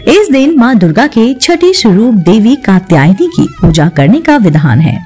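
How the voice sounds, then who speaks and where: A woman narrating a scripted devotional programme and reciting prayers in a measured, deliberate way. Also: on the high side, a little mellow, husky. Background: music.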